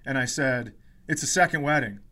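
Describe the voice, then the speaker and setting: A man's voice: mid-range voice, moderate pace, bright, forceful tone. A man in his forties telling comic stories as a podcast host, in an exasperated, profane, ranting manner. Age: 40s. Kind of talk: comedic storytelling in casual chat.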